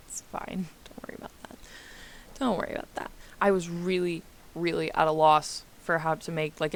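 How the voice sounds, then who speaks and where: Rambling congested young woman, lower voice. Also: fairly bright tone. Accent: American. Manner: rambling, self-deprecating, laughs often. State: a little congested. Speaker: gaming YouTuber. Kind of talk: voice-over commentary on a game build.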